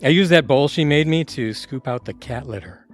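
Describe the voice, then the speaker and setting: A man's voice: medium pitch, expressive, moderate pace, soft background music, natural voice. A man playing a teasing best buddy in a comic audio play, with a sardonic, wisecracking manner. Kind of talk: comic audio theatre acting.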